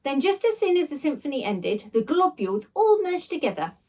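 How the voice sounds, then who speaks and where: A woman reading a children's story aloud like a teacher, with dramatic, expressive delivery and emphatic sound words. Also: sits high, brisk. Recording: voice a little distant from the microphone.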